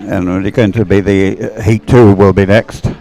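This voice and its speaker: A man with a fairly low voice commentates live on a race. His delivery is low-key and halting, coming in short broken phrases with gaps between them. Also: fairly even intonation, dark tone, faint music behind.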